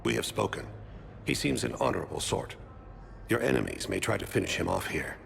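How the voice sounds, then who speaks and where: Deep gravelly serene alien man. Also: a little hiss or hum, heavily acted. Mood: resigned, gracious. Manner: formal, measured, calm phrasing. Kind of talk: video game cutscene dialogue.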